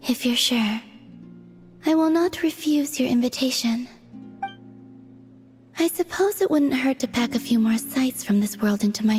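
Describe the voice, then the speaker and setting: A woman playing an otherworldly, serene young moon maiden in a video game, speaking in a soft, breathy, measured deadpan. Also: high-pitched, big-room sound. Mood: calm, reserved. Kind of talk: dramatic video game voice acting. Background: music.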